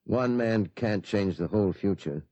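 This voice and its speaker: A man's voice: fairly low pitch, takes it slowly, dark tone, no echo. A man acting in a 1950s radio drama as an anxious, doubtful middle-aged father, hesitant and easily flustered. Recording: muffled, dry 1950s radio recording.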